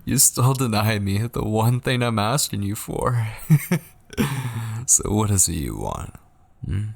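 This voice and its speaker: A man acts out a possessive, obsessed male kidnapper for an ASMR roleplay. His low voice is husky and gravelly, coaxing and menacing by turns. He often sinks into breathy whispers, very close to the microphone. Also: expressive, slow, no echo, exaggerated voice. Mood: unhinged, desperate. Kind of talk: ASMR audio roleplay acting.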